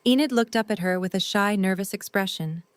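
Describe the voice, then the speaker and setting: Smooth, clear woman narrator. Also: medium pitch, fairly quick, no echo. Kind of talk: scripted story narration.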